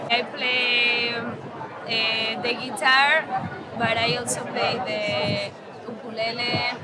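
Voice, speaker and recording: A woman, a street musician, speaking slowly and haltingly in a casual interview. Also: fairly high pitch, little pitch movement, over a noisy crowd, heavy background noise. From Argentina. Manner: slow and halting, with fillers.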